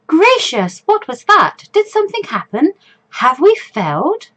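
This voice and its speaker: Woman voicing a flustered, high-pitched tipsy teenage girl. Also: huge pitch swings, unhurried, fairly bright tone, exaggerated voice. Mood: panicked. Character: tipsy, flustered fourteen-year-old schoolgirl, socially awkward and over-formal.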